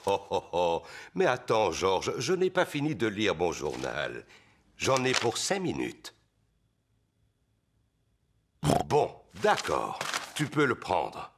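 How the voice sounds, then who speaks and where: A man's voice: deep voice, wildly swooping pitch, takes it slowly, background noise, heavily acted. A man voicing a jolly, good-natured father pig in a cartoon, hearty and fatherly. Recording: cartoon music behind the voice.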